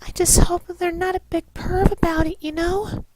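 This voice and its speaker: Woman doing a timid high-voiced anime girl. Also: flat delivery, normal speed, no room sound, low-quality recording. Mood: shy. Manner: hesitant, soft-spoken stammer. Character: shy, timid, gloomy bookish young anime girl.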